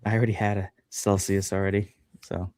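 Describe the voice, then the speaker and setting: A man's voice: low voice, little pitch movement, brisk, a little mellow, dry recording, clean studio sound. A man chatting as a guest on a livestream podcast, talking loosely with lots of fillers and self-deprecating asides. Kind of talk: casual chat on a livestream.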